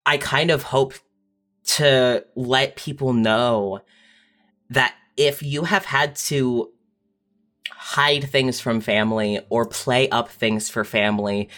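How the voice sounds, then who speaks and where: A young adult speaking casually and candidly, telling personal stories in a halting way with frequent repeated words. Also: medium pitch, fairly bright tone. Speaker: podcast guest writer.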